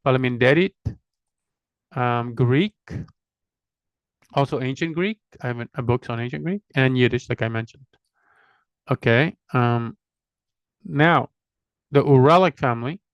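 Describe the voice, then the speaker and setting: A man's voice: medium pitch, smooth, no echo. A middle-aged man hosting a language channel, talking casually and rambling from one item to the next, poking fun at himself along the way. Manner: chatty, digressive, self-deprecating, lists items one by one.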